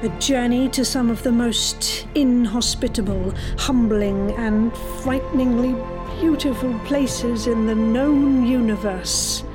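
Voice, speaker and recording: Woman acting as a polite skeptical crewmate lady narrating a space podcast, really slow talker, music under it. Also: fairly high pitch, steady tone. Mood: grand, dramatic. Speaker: podcast host. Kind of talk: scripted audio drama and trailer narration.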